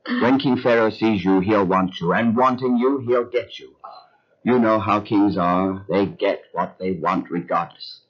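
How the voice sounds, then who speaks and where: Devout patriarch, low pitch, solemn, measured, earnest, radio drama, muffled recording. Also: male voice. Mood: anxious. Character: earnest, devout biblical patriarch, anxious husband of Sarah. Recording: muffled retro audio drama sound.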